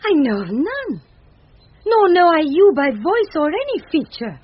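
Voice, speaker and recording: A woman's voice: very high voice, big pitch swings, a little slow, exaggerated voice. An actress playing an anxious young noblewoman disguised as a youth, speaking earnest formal verse in a classic Shakespearean radio drama. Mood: earnest, indignant. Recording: dull, band-limited 1970s radio recording.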